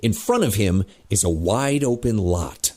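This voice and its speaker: A man's voice: fairly low pitch, lively intonation, a little slow, darkish tone. A man narrating a scripted action audio drama with dramatic storytelling delivery.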